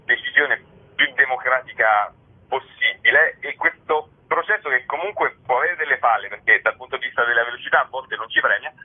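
A man's voice: mid-range voice, brisk, slightly rough. A man being interviewed as a guest, explaining his work in a chatty way with many filler words. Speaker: interview guest, satirical writer. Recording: narrow tinny phone line on radio.

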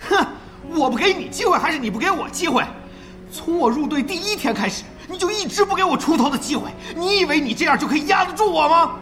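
A man's voice: very high-pitched, lively intonation, fast, bright, forceful tone, heavily acted. An actor playing a spiteful, resentful male esports player in a radio drama, full of theatrical sarcasm and taunts. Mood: angry, resentful. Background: background music.

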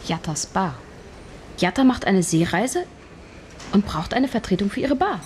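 A level-headed woman scriptwriter in a radio play, played by an actress thinks ideas through out loud. Her voice sits at a middle pitch. A faint noise of the room hangs behind her. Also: lively intonation, a little slow, lightly acted.

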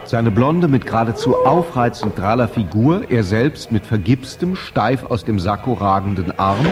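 A man playing the storyteller of a radio drama, narrating in a measured, precise, literary rhythm. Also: mid-range voice, animated, lightly acted. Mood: measured, storytelling. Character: male storyteller of an English pub miniature. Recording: crowd chatter behind the voice. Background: pub crowd chatter.

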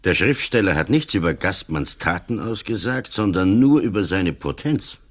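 An older actor with a Swiss German accent playing a calm, stubborn, ailing police commissioner in a radio play, speaking cold and firm. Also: male voice, low-pitched, moderate pace. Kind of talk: 1980s radio play acting.

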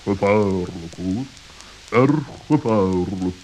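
A man's voice: deep voice, lively intonation, a little mellow, pitched below the performer's own voice. Man playing a pompous old-school owl, faint hiss. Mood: cautioning. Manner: pompous, wordy, deliberate. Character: pompous, suspicious, scholarly male owl. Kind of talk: children's radio play acting. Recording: hissy, crackly old audio recording.

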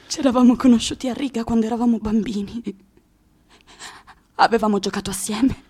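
Fragile, husky young woman. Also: fairly high pitch, darkish tone. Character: fragile, wounded young woman, pleading and devoted. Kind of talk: theatrical radio drama acting.

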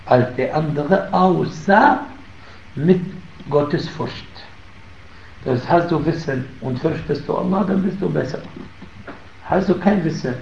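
A man giving a religious lesson in German, emphatic on key points and pausing with fillers. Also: medium pitch, creaky, a little mellow, a little hiss or hum. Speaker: Islamic teacher. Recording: roomy, muffled, faint ambience.

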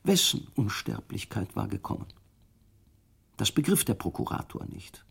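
A man narrating a literary radio drama in a grave, hushed storytelling manner. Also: fairly low pitch, sing-song, normal speed, vocal fry, breathy edge. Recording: radio play with faint music.

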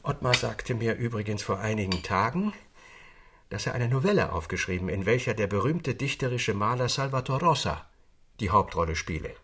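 A man playing a courteous, bookish storyteller with formal, measured literary phrasing in a radio play. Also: on the low side, lively intonation, fairly bright tone, husky, heavily acted. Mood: conversational. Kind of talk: German radio play acting, period literary dialogue.

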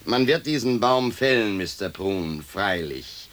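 An actor playing a courteous, pensive gentleman in a radio play, speaking formally and deliberately on a hissy old recording. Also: lowish voice, lively intonation. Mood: measured, insistent. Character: courteous, pensive gentleman arguing with His Lordship. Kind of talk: stereo radio play acting.

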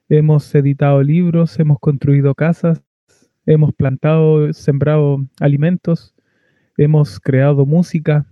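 Muffled, higher-pitched Chilean man being interviewed. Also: no room sound. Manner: thoughtful, halting. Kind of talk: reflective interview answer. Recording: muffled, lo-fi dry recording.

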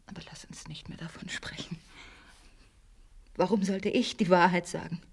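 A woman's voice: fairly low pitch, steady tone, normal speed, gravelly, slightly rough. A brooding, intense bookish mother. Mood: hushed, fatalistic. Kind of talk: literary radio play acting.

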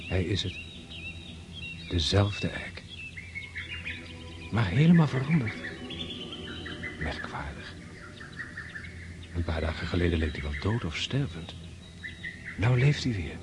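A man's voice: low voice, expressive, slow, long pauses, over music. An actor playing a brooding prince in his thirties, musing reflectively in a radio drama. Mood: wondering. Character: brooding young Russian prince, weary yet reawakening.

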